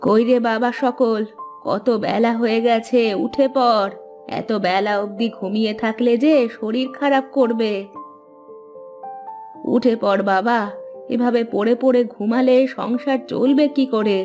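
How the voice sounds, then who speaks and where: A woman's voice: a little high, fairly even intonation, measured pace, darkish tone, faint music behind, close to an everyday voice. A woman voicing a worried, nagging village mother and housewife in a cartoon fairy tale. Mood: motherly urging.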